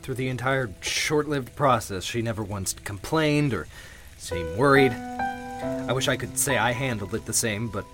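A man playing a hesitant, guilt-ridden widowed husband confiding in an audio drama, with halting delivery. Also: medium pitch, close to an everyday voice. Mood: grieving, subdued. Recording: background music under the voice.

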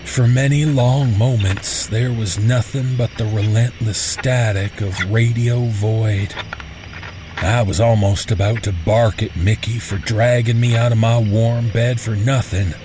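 A man narrating a scripted first-person horror story in a deliberate, suspenseful manner. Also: on the low side, fairly even intonation, noisy background.

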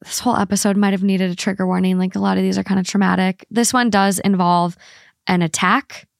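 Woman co-host, average pitch, dry close sound, reads posts aloud steadily. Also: little pitch movement, fairly quick, creaky, dry recording. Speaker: podcast co-host. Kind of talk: casual chat, reading posts aloud.

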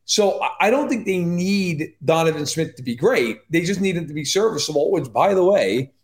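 A man giving quick, opinionated sports analysis as a podcast guest, with wry joking asides. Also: on the high side, expressive. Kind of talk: casual sports analysis chat. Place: live-streamed podcast.

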